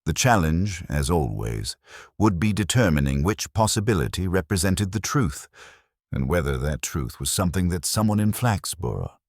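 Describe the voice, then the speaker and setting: A man narrating a scripted mystery story in a measured, formal, literary manner. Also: sits low, big pitch swings, darkish tone, no room sound, clean studio sound.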